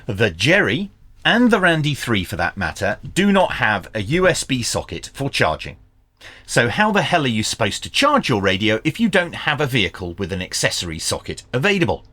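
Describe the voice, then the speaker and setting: A man's voice: mid-range voice, wildly swooping pitch, normal speed, pushed and bright. A man presenting an unboxing product review in a plain-spoken, informal, opinionated way. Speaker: reviewer and presenter of radio gear.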